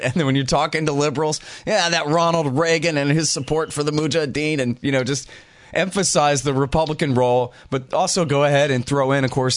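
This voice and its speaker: A man hosting a talk show, chatting loosely with fillers and quick asides. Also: mid-range voice, no echo. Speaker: podcast host. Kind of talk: casual interview talk.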